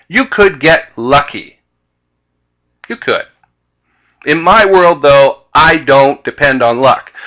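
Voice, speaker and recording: A man with a Canadian accent presenting a sales lesson as a coach, speaking emphatically and deliberately and repeating key phrases. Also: medium pitch, bright, forceful tone. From Vancouver, Canada. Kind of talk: instructional slide presentation.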